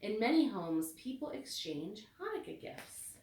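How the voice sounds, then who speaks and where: A woman reading a storybook aloud with expressive, deliberate phrasing meant for children. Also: medium pitch, slight background noise. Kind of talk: read-aloud storybook narration. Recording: echoey room, distant mic.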